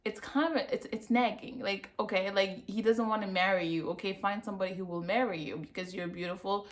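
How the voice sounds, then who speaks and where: A woman's voice: mid-range voice, fairly even intonation, a little fast. A woman talks straight to her viewers in a casual review, pressing each point and repeating it for weight. Her voice sits in a middle range for a woman. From Cameroon. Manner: emphatic, repeats herself for effect. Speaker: vlogger.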